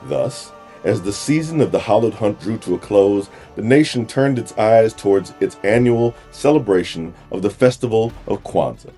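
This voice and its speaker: Very deep, theatrical male narrator. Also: expressive, moderate pace, hardly any pauses, dark tone. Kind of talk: scripted fantasy audio drama narration. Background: music.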